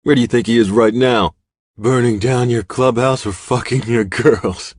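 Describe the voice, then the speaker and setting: A man's voice: medium pitch, fairly even intonation, normal speed, no room sound, clean studio sound, pitched above the performer's own voice, natural voice. A man acting a sneering, defiant captive villain. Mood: taunting, defiant. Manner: sneering, goading.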